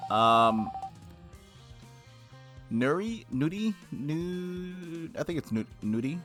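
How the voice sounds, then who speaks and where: Male let's-play host, lively swings in pitch, reading story text with casual asides, music behind. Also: extremely slow. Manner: expressive read-aloud, slangy asides. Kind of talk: reading a visual novel aloud with casual reactions.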